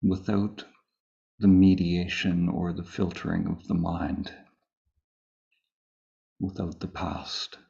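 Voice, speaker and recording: A man with a low, gravelly voice teaches meditation in a calm manner. He leaves long pauses between short phrases and keeps his tone very even. The sound is a little dull and muffled. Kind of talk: slow guided meditation, spoken live.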